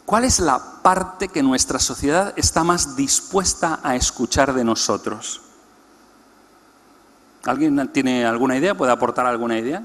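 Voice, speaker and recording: A man's voice: medium pitch, a bit bright. A man giving an explanatory teaching talk to a church congress, putting questions to the audience. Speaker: conference speaker. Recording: long hall reverb.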